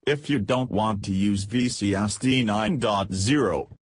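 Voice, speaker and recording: A man with a fairly low voice narrates scripted instructions very slowly. His delivery is measured and instructional, and the sound is dry and close. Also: no room sound. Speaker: software tutorial narrator.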